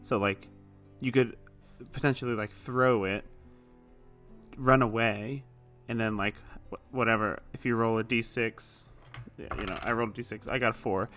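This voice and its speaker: A man's voice: fairly low pitch, steady tone, normal speed, a bit bright, soft background music. A man speaking casually as a player in a tabletop role-playing game. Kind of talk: casual chat in actual play.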